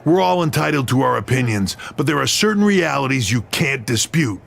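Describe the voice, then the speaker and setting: An actor in a video game cutscene playing a measured male wrestling official who speaks in a firm, formal manner. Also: mid-range voice, lively intonation, vocal fry, fairly bright tone, a little hiss or hum.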